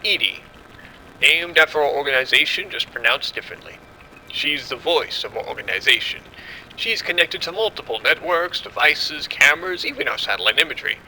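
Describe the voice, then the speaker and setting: A man playing a confident scientist in an audio drama, explaining in a measured way. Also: on the high side, animated, sharp tone, slight background noise, heavily acted, low-quality recording. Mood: assured, explanatory. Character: confident male scientist running a secret military experiment.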